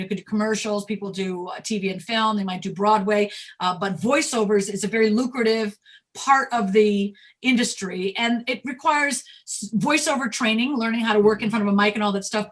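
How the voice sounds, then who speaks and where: A woman's voice: on the high side, fairly bright tone. A woman hosting a casual, conversational interview. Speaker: interviewer, host.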